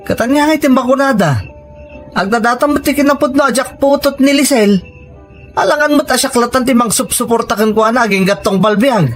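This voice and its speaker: A man's voice: extremely high pitch, brisk, soft background music. A man with an Ilocano accent playing a stern, grumbling husband weary of household expenses, complaining emphatically in a serial drama. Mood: stern, insistent. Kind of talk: radio-style serial drama acting.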